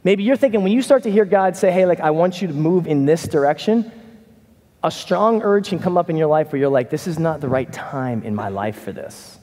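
A middle-aged man preaching a conversational sermon from a church stage, animated and casual. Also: fairly high pitch, brisk. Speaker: pastor. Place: church stage in a large hall. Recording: reverberant hall.

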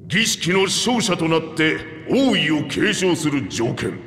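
Old lizard monarch, gruff and regal, animated swooping delivery, video game, echoing hall with music. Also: male voice, on the high side, takes it slowly, heavily acted. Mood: assured. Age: older. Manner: gruff, regal, plain-spoken old-ruler speech. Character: aging two-headed reptilian king, commanding, hearty and frank. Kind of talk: Japanese video game voice acting. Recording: echoey hall reverb, background music.

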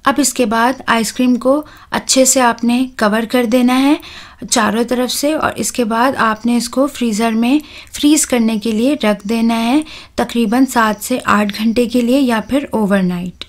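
Calm woman with a higher voice, teaching a recipe. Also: measured pace. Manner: calm, instructive, with soft "uh" fillers. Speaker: cooking channel host. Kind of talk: step-by-step recipe voice-over.

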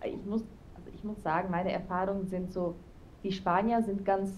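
A woman's voice: mid-range voice, fairly even intonation, normal speed, a little mellow, roomy sound, far from the mic, a little hiss or hum. A young woman chatting as an interview guest over a video call with a headset, talking casually with lots of fillers. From Spain. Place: home room with neon sign, indoors.

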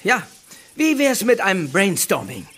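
A woman's voice: mid-range voice, big pitch swings, unhurried, a little hiss or hum. A woman playing an upbeat, witty young girl bandmate in a German audio drama. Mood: businesslike.

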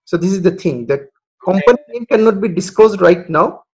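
A man's voice: high voice, lively intonation, normal speed, a little mellow, slightly rough, lo-fi sound. A man from Bangladesh, a freelance recruitment consultant, conducting a job interview over a video call with many hesitations.

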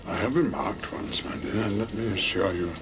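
Commanding elderly film villain, older male actor, proud and defiant, deep voice over loud score. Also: moderate pace, music in the background, natural voice. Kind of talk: dramatic film acting.

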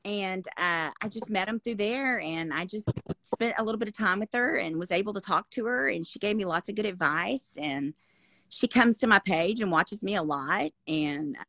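A woman's voice: mid-range voice, moderate pace, pushed and bright. A woman in her fifties joining a casual video call from home, earnest and encouraging. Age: 50s. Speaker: guest caller. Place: indoor home room. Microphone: phone front camera, video call.

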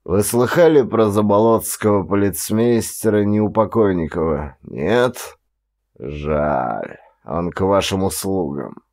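A man narrating a literary audiobook as an actor, with expressive delivery. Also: sits low, takes it slowly.

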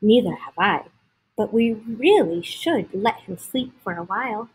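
A woman's voice: medium pitch, expressive, measured pace, darkish tone, a little hiss or hum, close to an everyday voice, rough recording. A woman voicing nosy, doubting animals in a children's storybook, blunt and questioning. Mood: suspicious.

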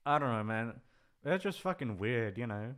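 A man's voice: mid-range voice, expressive, moderate pace, fairly bright tone, clean tone. A man chatting casually in a rambling, hesitant way, swearing freely as he goes. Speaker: ASMR voice actor, channel creator. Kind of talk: rambling casual farewell chat.

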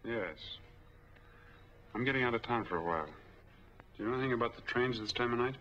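A man's voice: mid-range voice, fast, fairly bright tone, natural voice. An actor playing a tense, guarded man on the run in a 1940s film noir, speaking tersely and in a businesslike way. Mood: brisk, decisive. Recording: muffled, noisy 1940s film soundtrack.

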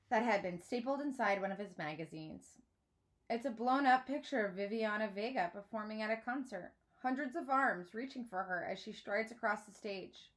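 A woman's voice: medium pitch, a bit bright. A woman in her thirties narrating a children's book expressively, like a teacher reading aloud. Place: small indoor room at home.

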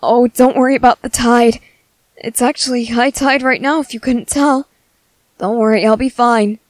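A woman voicing a gentle, earnest young merman in a soft, halting, soothing ASMR roleplay. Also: fairly high pitch, steady tone, no echo, natural voice. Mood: reassuring.

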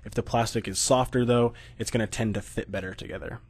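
American guy walking through slides, lowish voice, calm explainer with some uh's. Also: fast. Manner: steady explanatory, occasional "uh" fillers. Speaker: product presenter. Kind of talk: narrated slideshow presentation.

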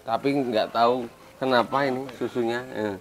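A young man with a Javanese accent, chatting casually through an outdoor farm tour, relaxed and jokey, recorded on a lapel mic. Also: medium pitch, normal speed, low-quality recording. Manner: relaxed, chatty, mixing in local words, jokey asides. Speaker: goat farm vlogger. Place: outdoor village yard with goats. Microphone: small lapel mic.

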